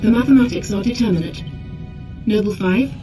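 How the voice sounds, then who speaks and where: A woman's voice: medium pitch, brisk, close to an everyday voice. A woman voicing a composed military artificial intelligence in a sci-fi game, speaking in a precise, formal, detached way. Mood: coolly cautioning. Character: composed, coolly logical female military artificial intelligence assistant. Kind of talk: scripted sci-fi game dialogue. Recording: loud music over game dialogue.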